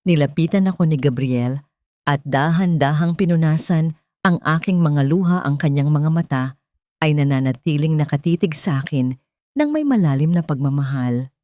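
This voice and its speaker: A woman with a low, dark voice narrates a dramatic first-person story. Her delivery is solemn and deliberate, with a sentimental storytelling feel. The sound is dry, with hardly any room on it. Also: lively intonation, dry recording.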